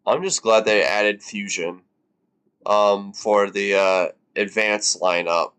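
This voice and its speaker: A man's voice: fairly low pitch, slow pace, a bit bright, clear, resonant tone. A man in his twenties on a live stream, reacting casually and rambling with lots of fillers and the odd swear word. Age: 20s.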